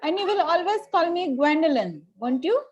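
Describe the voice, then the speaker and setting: A woman's voice: very high voice, expressive, moderate pace, darkish tone, lo-fi sound. A woman reading the part of a haughty, gushing young Victorian society lady in an amateur play reading, formal and self-assured. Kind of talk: amateur play table read.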